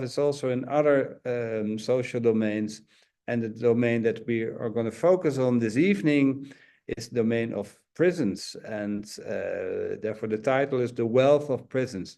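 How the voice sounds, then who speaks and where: A man from the Netherlands, hosting a webinar and speaking in halting phrases with pauses. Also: medium pitch, dark tone. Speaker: host, psychiatrist and network chair. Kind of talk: webinar welcome, semi-scripted talk.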